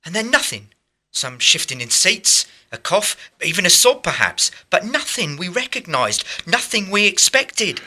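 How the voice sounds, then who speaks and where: A man playing a steady, reassuring young working-class theatre lad in a radio play. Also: sits high, measured pace, exaggerated voice. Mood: excited. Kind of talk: radio drama acting.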